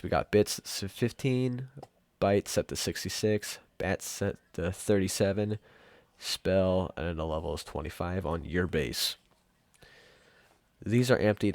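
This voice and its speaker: Low-voiced guy rambling thru a software tutorial, super informal, really dry close sound. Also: no room sound. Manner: offhand, rambling, informal with mild swearing. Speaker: tutorial presenter. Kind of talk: casual software tutorial, off-the-cuff.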